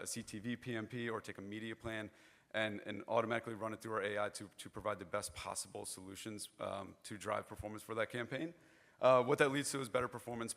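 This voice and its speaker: A hoarse young man giving a chatty, informal conference presentation on stage, often restarting his phrases. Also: on the low side, fairly bright tone.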